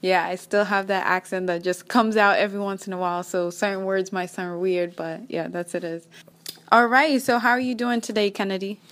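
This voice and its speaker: Young woman, Kenyan accent, podcast host, encouraging, upbeat, mid-pitched voice. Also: steady tone, a little fast, a bit bright. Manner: upbeat, encouraging, praise-filled. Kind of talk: faith-based podcast chat.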